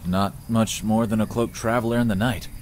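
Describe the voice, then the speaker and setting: A man's voice: fairly low pitch, steady tone, normal speed, a little hiss or hum, close to an everyday voice. A man playing a dry, matter-of-fact, well-travelled village inventor in a visual novel. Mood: dry, unbothered. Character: dry, worldly village man, self-taught showman inventor.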